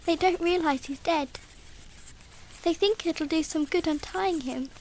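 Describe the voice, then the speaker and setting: A woman's voice: very high voice, a little slow, a little hiss or hum, low-quality recording. A woman playing an earnest, tender-hearted little girl in a radio drama, with a wide-eyed manner. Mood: tender, subdued. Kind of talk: radio theatre acting.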